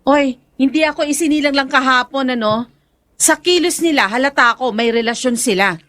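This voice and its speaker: A woman playing a suspicious, impatient and sharp-tongued wife in a melodramatic radio drama. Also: high voice, moderate pace, no echo. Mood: suspicious, insistent.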